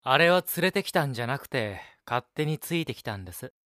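A man's voice: medium pitch, expressive, fast talker, no room sound, studio quality, natural voice. Man playing a polite, composed young assistant. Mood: mildly defensive. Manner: courteous formal speech, gently unruffled. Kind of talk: Japanese audio drama acting.

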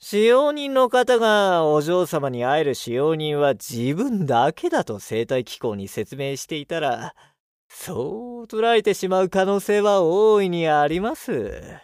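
Male technician, polite, analytical, patient explainer, formal diction, animated intonation, dry studio sound, audio drama. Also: a little high, unhurried, no echo. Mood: explaining. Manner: formal polite speech, explanatory, slightly theatrical. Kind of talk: Japanese audio drama acting.

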